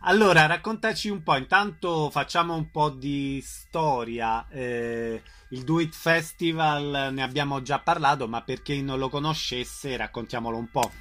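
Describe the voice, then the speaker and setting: A man's voice: medium pitch, unhurried, few pauses, a bit bright. A man hosting a radio interview about the arts, putting questions to guests.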